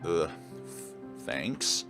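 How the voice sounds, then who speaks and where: A man voicing an awkward, earnest high school protagonist who speaks hesitantly in a visual novel. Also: over music. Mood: nervous, apologetic. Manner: hesitant, halting, stammering.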